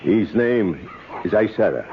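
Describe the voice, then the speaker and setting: An actor playing a dignified, deferential jungle camp boss with formal, educated speech in an old-time radio drama. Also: male voice, on the low side, lively intonation, unhurried. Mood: grave. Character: dignified, deferential native camp boss, superstitiously fearful of praise. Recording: dull, noisy old radio recording.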